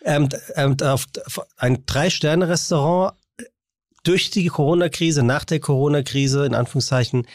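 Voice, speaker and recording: A man's voice: on the high side, moderate pace, no echo, studio quality. A man talking casually as a podcast interviewer, phrasing his thoughts in a hesitant, roundabout way. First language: German.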